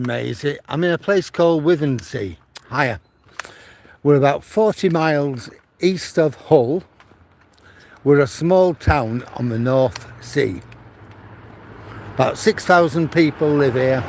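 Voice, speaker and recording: An older retired man from Manchester rambles on as he walks his dog along a seaside promenade. His voice is gravelly and his pace is slow and easy, with restarts as he chats into a phone on a gimbal. Faint outdoor sounds are heard behind him. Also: a little high, a little mellow, a little hiss or hum. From Manchester, England. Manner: chatty, rambling storytelling with frequent restarts. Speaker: vlogger walking with his dog. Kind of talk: casual walking livestream chat.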